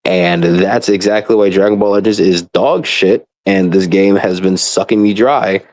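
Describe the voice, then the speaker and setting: A man's voice: deep voice, fairly even intonation, moderate pace, a little mellow. A man rambling through a casual, profane rant as a frustrated gamer, speaking in an exasperated and emphatic way. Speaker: gamer ranting about a mobile game.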